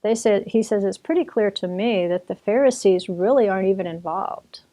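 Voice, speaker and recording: This is a woman with a mellow, warm voice explaining history in an easy, conversational way. She talks like a teacher chatting with a friend, restarting sentences and circling back as she goes. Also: medium pitch, moderate pace. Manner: chatty, with restarts and occasional laughter. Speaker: author and Bible teacher.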